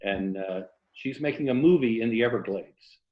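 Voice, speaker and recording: A man's voice: lowish voice, moderate pace. American man on a roomy, muffled call. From Tampa, Florida, USA. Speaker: writer, interview guest. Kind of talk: casual conversation, then reading own novel excerpt aloud. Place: indoors in an old house, on a video call.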